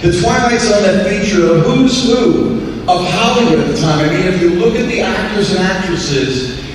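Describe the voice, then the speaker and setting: A man's voice: sits high, normal speed. A man giving an emphatic live presentation in a theatre, recorded from a distance. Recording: echoey hall from far off, faint noise.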